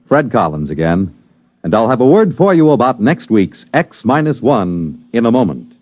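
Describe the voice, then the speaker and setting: Vintage American male radio announcer, scripted delivery, lively intonation, dull lo-fi sound. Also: mid-range voice, normal speed. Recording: muffled old radio recording.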